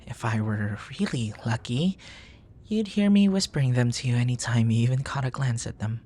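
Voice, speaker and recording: A man playing a bashful, bookish young nerd in an intimate ASMR boyfriend roleplay, speaking softly and breathily in flowery, formal phrases, flustered and hesitant, often slipping toward a whisper. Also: mid-range voice, moderate pace, close to an everyday voice. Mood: smitten, tender.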